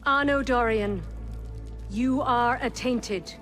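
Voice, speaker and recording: Imperious middle-aged guild master, game cutscene: stern, formal diction, slightly high voice. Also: female voice, slow, a bit bright, soft background music. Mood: solemn judgment. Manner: formal, severe, commanding. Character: stern, imperious female master of an assassins' council.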